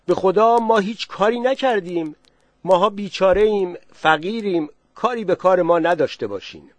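A frightened, poor village man, voiced by a story narrator, begging loudly and anxiously to be left alone. His voice climbs above the narrator's usual pitch and gets louder, and it is recorded close and dry. He sounds like a storybook villager in a panic. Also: lively intonation, no echo. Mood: pleading. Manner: pleading, raised voice.

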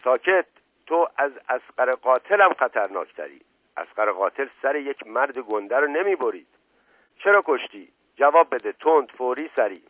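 Casting: male narrator playing storybook police interrogator, loud, bullying, impatient, raised husky voice, thin radio-like recording. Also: medium pitch, very animated. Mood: barking, impatient. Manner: barks short commands, repeats urgent demands. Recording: roomy lo-fi radio-like narrow band.

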